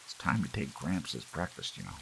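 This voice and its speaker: A man's voice: rumbling low, very animated, brisk, gravelly, slight background noise, heavily acted, low-quality recording. A man voicing a sarcastic, grumbling seventy-three-year-old son in a storybook, apathetic and complaining.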